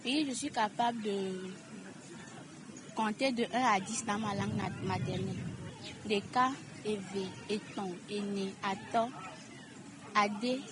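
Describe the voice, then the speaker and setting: A woman's voice: mid-range voice, steady tone, a little slow, pauses often. A woman answering a street interview in a plain, matter-of-fact way. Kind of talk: vox pop answer. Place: street outdoors. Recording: noisy outdoor street recording.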